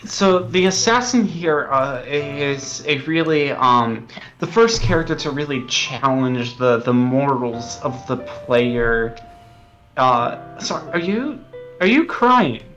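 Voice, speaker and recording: A man's voice: medium pitch, expressive, takes it slowly, a bit bright, muffled recording, soft background music. A man being interviewed as a game developer, explaining things in an earnest, hesitant way.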